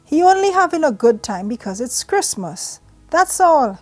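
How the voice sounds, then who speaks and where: A woman's voice: high voice, very animated, normal speed, dry recording, pitched above the performer's own voice. A woman voicing an indulgent father who speaks emphatic dialect, reading from a novel. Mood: indulgent, protesting. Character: indulgent, amused Caribbean father defending his son.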